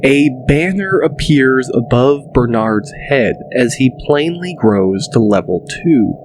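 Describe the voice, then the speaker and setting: A male narrator reads a dramatic fantasy audiobook. His delivery is slow and deliberate, at an average pitch, with music playing clearly behind him. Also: animated, poor audio. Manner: deliberate, descriptive.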